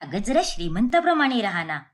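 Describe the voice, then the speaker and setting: A snobbish young society woman played by a female narrator from a cartoon moral story. She lectures in a condescending, scornful manner, with a very lively pitch that swoops around. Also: a little fast, natural voice. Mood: lecturing, superior. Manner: condescending, lecturing, fashion-obsessed. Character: snobbish rich young women, scornful socialite friends.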